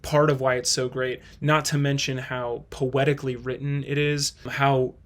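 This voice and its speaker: A man's voice: medium pitch, flat delivery, normal speed. A man hosting a video, giving earnest, casual, conversational commentary. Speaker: host of a play-ranking video.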